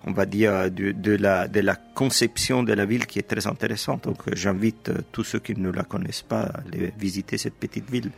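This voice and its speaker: A middle-aged Italian man speaking French with an Italian accent, a guest in an interview who explains himself in a measured way with frequent hesitations. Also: on the low side, steady tone, creaky. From Italy. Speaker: guest, teacher and editor. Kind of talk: interview conversation.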